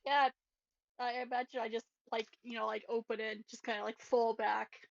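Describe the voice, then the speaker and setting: A woman's voice: a little high, little pitch movement, moderate pace, gravelly, lo-fi sound. A woman chatting casually as a player in a tabletop roleplaying game.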